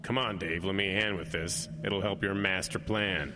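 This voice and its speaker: An actor playing a gruff, practical park service boatman with a blunt, terse manner in a radio drama. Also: deep voice, steady tone, moderate pace, in a large hall, background noise, lightly acted. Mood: irritated, urgent.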